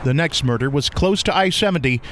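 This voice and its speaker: A man's voice: a little high, lively intonation, a little fast, a bit bright, a little hiss or hum. A man doing scripted voiceover narration and demo reading, recorded close on a studio condenser microphone. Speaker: voiceover artist and interviewer.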